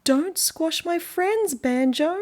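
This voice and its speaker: A woman with an Australian accent voicing a small, bossy and cheery female ladybird in a children's picture book, calling out in a drawn-out sing-song. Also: very high voice, expressive, a little mellow, voice pushed up, exaggerated voice. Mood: urgent warning.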